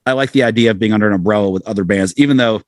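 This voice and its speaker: A middle-aged American man speaks as a guest in a casual interview. His voice sits fairly low and he talks quickly in a dry, close-sounding recording. He rambles and gently puts himself down as he goes. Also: no room sound. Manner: rambling, self-deprecating. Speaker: musician guest, band frontman.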